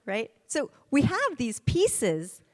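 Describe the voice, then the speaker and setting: A woman's voice: high voice, huge pitch swings, slow pace, big-room sound. A woman giving a conference presentation, speaking emphatically and checking in with rhetorical questions. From United States. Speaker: guest speaker.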